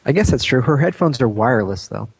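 A man's voice: medium pitch, expressive, fast talker, creaky, husky, no room sound. A man co-hosting a podcast in casual chat, with a wry, playful way of talking. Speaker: podcast co-host.

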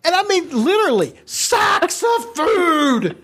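A woman's voice: very high voice, very animated, slow pace, a bit bright. A woman co-hosting a casual chat, telling a comic story with lots of laughter and acted-out quotes. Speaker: co-host.

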